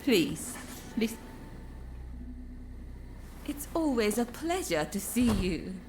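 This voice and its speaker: A woman's voice: a little high, sing-song, extremely slow, darkish tone, a little hiss or hum, heavily acted. An actress playing a poised, teasing woman who trades favors in a video game, speaking politely and coyly. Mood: coy, composed. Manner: coy, polite, formal phrasing. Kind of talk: video game cutscene acting.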